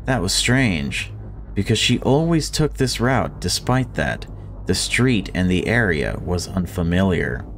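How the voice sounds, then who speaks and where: A man's voice: low-pitched, very animated, a little slow, slight background noise. A man reading visual novel story text aloud and adding casual commentary as a gaming video creator.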